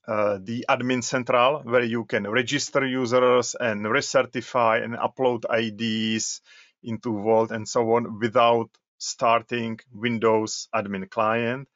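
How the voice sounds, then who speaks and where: Male presenter, slow and halting, dry dull webinar audio. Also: mid-range voice, fairly bright tone, dry recording. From Czech Republic. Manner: halting, repeats words while demonstrating. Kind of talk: software demo walkthrough.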